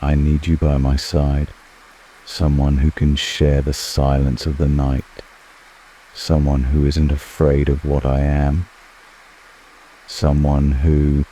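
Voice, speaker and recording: Guy doing an ancient vampire for asmr, super low dark voice, slow n intimate, formal, seductive, kinda lonely. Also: slight background noise, rough recording. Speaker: ASMR roleplay performer. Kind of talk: scripted ASMR roleplay.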